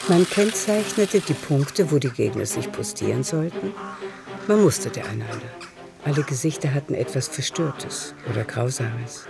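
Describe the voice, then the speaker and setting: A man's voice: mid-range voice, expressive, moderate pace, vocal fry, over music. A man narrating a literary radio drama from a script.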